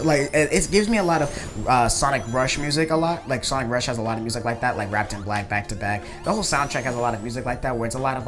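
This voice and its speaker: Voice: mid-range voice, a bit bright. Someone giving casual, gushing video game commentary in an enthusiastic, emphatic, rambling way. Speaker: video creator reviewing a game. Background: game music.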